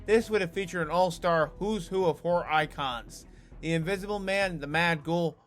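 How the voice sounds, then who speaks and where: A man's voice: a little high, moderate pace, faint music behind. A man hosting a scripted video essay, narrating with wry asides to the viewer. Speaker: host.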